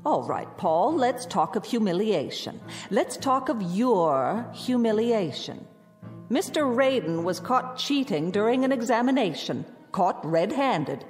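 Strict old-school teacher, lively cutting delivery, reverberant, faint music. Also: female voice, on the high side, a little slow. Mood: stern scornful. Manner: crisp, cutting, lecturing. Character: severe strict former schoolteacher woman, stern and scornful. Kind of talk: radio drama acting.

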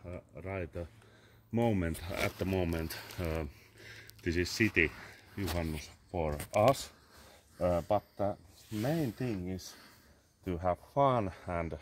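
Finnish man, 30s, very deep voice, unhurried halting english, lots of uh, swearing, phone outdoors. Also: a little hiss or hum. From Finland. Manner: halting, many "uh" fillers, swearing, sipping a drink. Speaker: vlogger. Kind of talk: casual rambling selfie vlog. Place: garden among trees, outdoors. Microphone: phone held at arm's length.

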